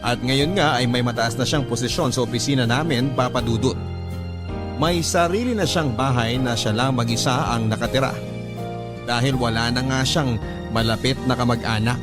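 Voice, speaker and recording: A man's voice: medium pitch, normal speed, natural voice. Actor as young pinoy guy telling his love story, earnest, mid voice, music playing behind. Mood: earnest, reflective. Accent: Filipino. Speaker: letter sender narrating his love story. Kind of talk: scripted radio drama narration.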